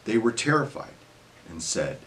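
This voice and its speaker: A man narrating scripture as a solemn dramatic reading, in measured phrases that trail off at the ends. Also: lowish voice, very animated, background noise.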